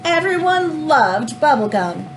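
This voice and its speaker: Animated woman reading aloud to children, high-pitched. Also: slow, soft background music, poor audio. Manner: animated, explanatory, teacherly. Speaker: teacher reading a picture book aloud. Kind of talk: expressive children's read-aloud with asides.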